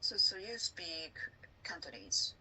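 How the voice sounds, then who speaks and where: A woman interviewer asking job interview questions in a halting way, searching for her words. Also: on the low side, sing-song, crowd noise, low-quality recording.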